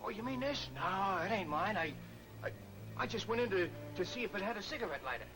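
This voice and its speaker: A man's voice: sits high, expressive, fairly quick, low-quality recording. A man playing a shifty, slangy small-time crook who hesitantly feigns innocence in a 1940s movie serial. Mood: nervous, evasive. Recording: old 1940s film soundtrack with music.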